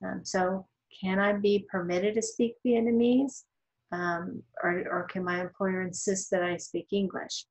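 A woman's voice: mid-range voice, level tone, a little slow, poor audio. Woman lecturing with frequent ums. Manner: thoughtful, explanatory, many ums and restarts. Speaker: college law instructor. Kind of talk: recorded slide lecture.

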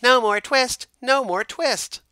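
A man voicing a chorus of cheeky little male mice in a storybook, chanting in a high, loud sing-song. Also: slow, clean tone, no room sound, full character voice. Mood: shouting.

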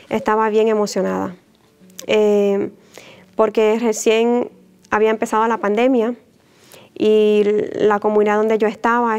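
Gentle Puerto Rican-born nun, higher voice. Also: female voice, clear, resonant tone. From Puerto Rico. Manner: gentle, unhurried, with many soft fillers and little laughs. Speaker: religious sister. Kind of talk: personal testimony to camera. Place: indoor church chapel, mid-sized. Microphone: lapel mic.

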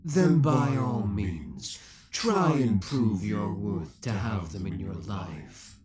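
Man acting a low-voiced, possessive young villain. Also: lively intonation, takes it slowly, over a noisy crowd. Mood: menacing, mocking. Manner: theatrical, formal, taunting, pet names. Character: obsessive young male villain, possessive, menacing, coldly affectionate. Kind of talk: roleplay audio drama acting.

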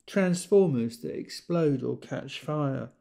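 A man's voice: mid-range voice, lively intonation, slow pace, creaky, mellow. A man playing a busy, jargon-heavy corporate consultant on a one-sided call in an audio drama, businesslike but hesitant.

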